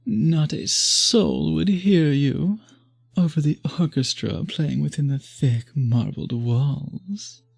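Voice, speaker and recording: A man voicing a charming, seductive vampire in a romantic ASMR roleplay, husky and breathy with a courtly, teasing manner, often dropping to a whisper. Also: a little high, a little mellow, full character voice. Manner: slow, courtly, teasing, flirtatious. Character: charming, seductive male vampire at a masquerade ball.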